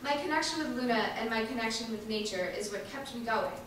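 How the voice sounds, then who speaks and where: A woman giving an earnest, emphatic dramatic reading of a first-person monologue, with deliberate pauses. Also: a little high, expressive, fairly bright tone. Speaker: reader performing an activist's first-person testimony. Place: large indoor event space, distant microphone. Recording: echoey hall from far off, faint noise.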